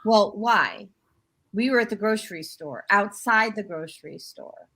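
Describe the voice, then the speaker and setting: A woman's voice: medium pitch, expressive, unhurried, bright, forceful tone. A woman hosting a talk radio show, chatting casually with her guest and rambling with frequent restarts. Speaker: host.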